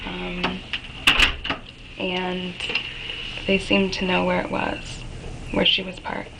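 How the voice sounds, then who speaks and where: Slow, flat-voiced woman with creaky voice. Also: lowish voice. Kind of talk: halting spoken account in a recorded interview. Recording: noisy room recording, clear background noise. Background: noise.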